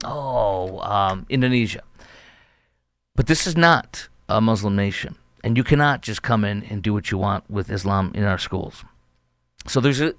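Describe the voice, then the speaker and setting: Indignant low-voiced male commentary host. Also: a bit bright, no echo. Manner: emphatic, indignant, rambling. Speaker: talk show host. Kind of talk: opinionated political commentary.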